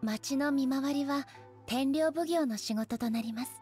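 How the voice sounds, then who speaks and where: A high, formal woman's voice for a dignified goddess ruler in an anime game. She is composed, aloof and literal-minded, choosing polite, measured words. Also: darkish tone, soft background music.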